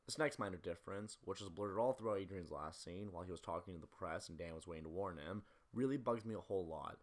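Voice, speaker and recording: A man's voice: low voice, quick pace, a little hiss or hum. A man giving scripted film commentary as a video essayist, in a critical, opinionated manner.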